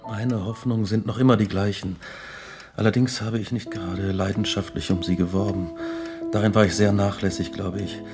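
Ein Schauspieler spielt in einem Hörspiel einen gequälten, reumütigen jungen Wissenschaftler, der ernst und grüblerisch in literarischer Sprache erzählt. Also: male voice, fairly low pitch, steady tone, normal speed, over music, lightly acted. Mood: subdued, weary. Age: young adult. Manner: brooding, literary, earnest. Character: haunted, remorseful young male scientist. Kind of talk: radio drama narration and dialogue.

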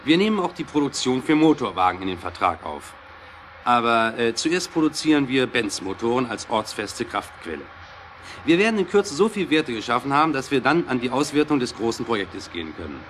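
A man's voice: mid-range voice, big pitch swings, fairly quick, fairly bright tone, a little hiss or hum. An actor playing a cautious, pragmatic businessman in a historical audio drama, speaking firmly and persuasively. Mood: reassuring, firm. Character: pragmatic, cautious male business partner, reassuring but firm.

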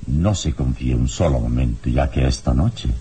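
Very deep-voiced commanding hero. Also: male voice, mellow, a little hiss or hum. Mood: calm, commanding. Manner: measured, authoritative, formal. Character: calm, commanding heroic adventurer leading an investigation. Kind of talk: radio serial drama acting.

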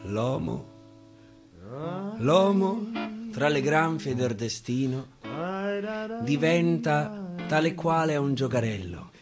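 A man's voice: a little high, lively intonation, takes it slowly, pauses often. A man reciting dialect verse dramatically on radio, musing and repeating phrases for emphasis. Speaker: actor. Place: radio studio. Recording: guitar accompaniment throughout.

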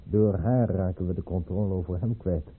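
Courtly baron, lowish and a little hoarse, polite, careful and formal, boxy old radio-play sound. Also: male voice, moderate pace. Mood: discreet, polite. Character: discreet, formal male baron at the imperial court. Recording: muffled, narrow-band old radio recording.

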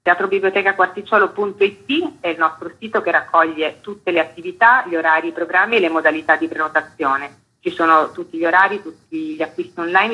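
A woman's voice: sits low, bright, forceful tone, husky, rough recording. A woman being interviewed on the radio as an artistic director, explaining a programme in detail.

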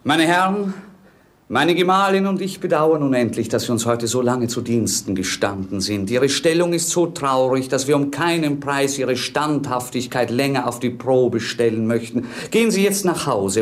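An actor playing a dreamy, whimsical young prince in love, speaking lyrically and playfully in a 1950s German radio play. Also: male voice, mid-range voice, animated, normal speed, lightly acted. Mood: gracious irony.

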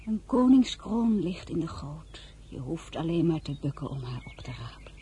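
A woman's voice: low-pitched, sing-song, moderate pace, dark tone, breathy edge, heavily acted. An actress playing a general's impulsive, adoring young wife in an audio drama, emotional and girlish. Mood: earnest.

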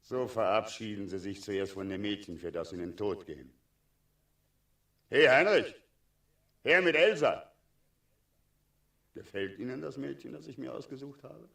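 A cynical dragon despot in a 1960s radio drama. The voice is low and creaky, set back from the microphone. He gives orders with sardonic relish, lingering over his words. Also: moderate pace, long pauses, a bit bright, distant mic. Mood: dry, resigned, then commanding, gloating. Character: cynical, tyrannical male dragon ruling a town.

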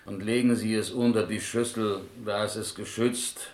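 A man plays a mild, fussy and very polite character in a radio play. The voice is low, with an Eastern European accent, formal courtesy and gently questioning, turned-around sentences. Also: fairly even intonation, normal speed, a little hiss or hum, natural voice. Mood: courteous, reproachful. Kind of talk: German radio drama acting.

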